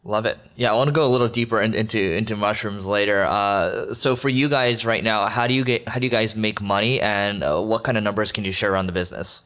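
A man hosts an interview with a somewhat low voice. He sounds upbeat and casual, tripping over his words as he sets up his questions. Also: fairly even intonation, quick pace, hardly any pauses, a bit bright. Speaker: podcast host.

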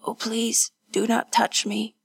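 A woman voicing a battered, distressed young woman in a hoarse, faint, halting whisper for an audiobook. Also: mid-range voice, no room sound, exaggerated voice. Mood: sorrowful. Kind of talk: audiobook character voice.

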